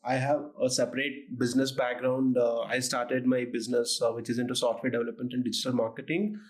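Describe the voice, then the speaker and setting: Hesitant man from India, flat delivery. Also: mid-range voice, fairly quick. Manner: hesitant, many "uh" and "you know, like" fillers. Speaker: startup co-founder, guest. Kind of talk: conversational interview answers.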